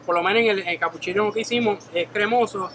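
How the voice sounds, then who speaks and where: Calm young barista, fairly high voice. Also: male voice, fast talker, background noise. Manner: calm, explanatory, informative. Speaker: professional barista. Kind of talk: casual explanation. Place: small coffee shop behind the counter.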